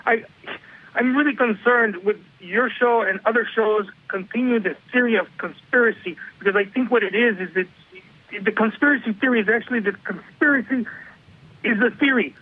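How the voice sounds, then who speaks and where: High-voiced, paranoid male phone caller. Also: moderate pace, slightly rough. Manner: evasive, paranoid, repetitive. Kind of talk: comedic call-in. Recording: tinny phone line.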